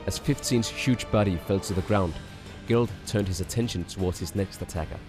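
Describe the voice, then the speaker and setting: Low-pitched male story narrator. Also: a little fast. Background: background music.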